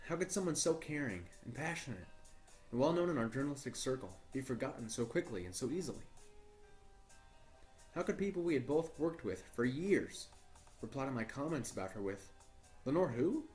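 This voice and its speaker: A man playing an earnest, troubled journalist, narrating a horror audio drama in a deliberate, formal storytelling way. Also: medium pitch, big pitch swings, darkish tone, soft background music, close to an everyday voice. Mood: troubled, incredulous. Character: earnest, troubled male journalist recording his search for missing partner. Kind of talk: first-person horror audio drama monologue.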